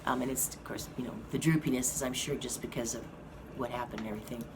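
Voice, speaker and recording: A hoarse woman giving a slow, halting update to her viewers from a hospital room. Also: a bass voice, steady tone. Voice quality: slow, halting speech with many fillers. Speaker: vlogger giving a health update. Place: hospital rehab room with an air conditioning fan. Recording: noisy room with fan hum. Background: air conditioning fan.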